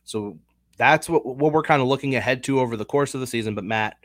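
A man with a head cold co-hosting a casual sports betting podcast, talking through picks in a measured, analytical way. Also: mid-range voice, fairly bright tone, dry recording, studio quality. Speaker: podcast co-host.

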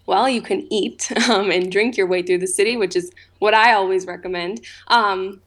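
A chatty woman, originally from Massachusetts, talks through interview answers at an ordinary pitch. She fills her sentences with "you know" and "um" as she explains things in an easy, conversational way. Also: steady tone, normal speed. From Massachusetts, USA. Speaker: guest, food tour founder.

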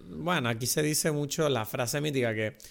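A young man with a Canarian accent, co-hosting a casual film podcast, animated and quick to laugh, acting out quoted lines. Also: fairly high pitch, creaky. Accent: Canarian Spanish. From Canary Islands. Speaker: podcast co-host.

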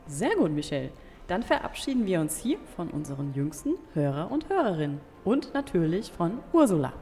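A woman's voice: fairly low pitch, sing-song, moderate pace, dark tone. A woman hosting a book podcast for young listeners, presenting in a conversational style. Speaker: podcast host. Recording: long reverb, music behind.

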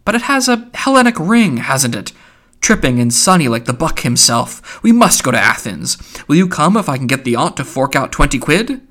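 Man playing a loud, mocking, theatrical young joker. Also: fairly high pitch, very animated, moderate pace, pitched above the performer's own voice. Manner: declaiming, mock-solemn, exclamatory. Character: plump, mocking, boisterous young Irish man, theatrically jovial.

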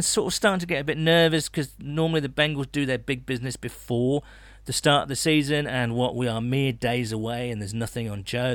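A man chats casually about sport as a podcast co-host. His delivery is halting, with repeated words and restarts, and he sounds closely recorded with very little room around him. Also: mid-range voice, a bit bright, no room sound, studio quality.

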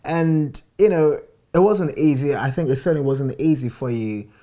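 A man's voice: fairly high pitch, moderate pace, dark tone. Reflective man sharing his marriage story. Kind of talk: reflective personal testimony.

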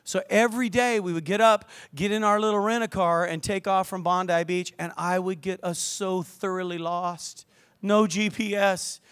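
Older guy preaching in church, kinda high voice, cracks jokes and talks to the crowd. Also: normal speed, smooth. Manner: lively, joking preacher with asides to the crowd. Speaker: pastor. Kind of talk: sermon. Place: church hall with balcony.

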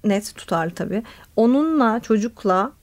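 A woman's voice: on the high side, animated, moderate pace. Thoughtful woman therapist explaining on radio. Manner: thoughtful and explanatory, with many fillers. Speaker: play therapist, guest. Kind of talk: radio interview.

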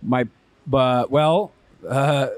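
A man in his thirties from Texas, chatting as an interview guest outdoors in a halting, wry way. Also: medium pitch. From Texas, USA. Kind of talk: casual conversation. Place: outdoors in a city neighborhood.

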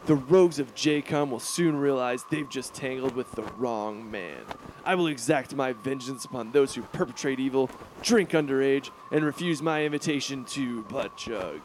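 A man's voice: medium pitch, moderate pace, few pauses, a little hiss or hum, lightly acted. An actor voicing a spoiled, arrogant teenage rich boy in a comedic cartoon, petulant and pompous in manner. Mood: grandiose, brooding. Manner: pompous, petulant, foul-mouthed.

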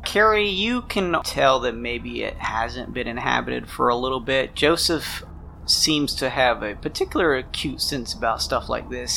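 Gravelly, bright-voiced man as game master. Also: mid-range voice, slight background noise. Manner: improvised second-person narration. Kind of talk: narrating a tabletop role-playing game.

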